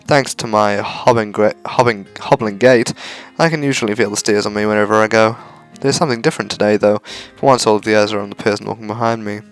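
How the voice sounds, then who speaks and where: A man's voice: lowish voice, fairly even intonation, fast talker, hardly any pauses, clear, resonant tone. A man reading a visual novel aloud as narration for a gaming video. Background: faint music.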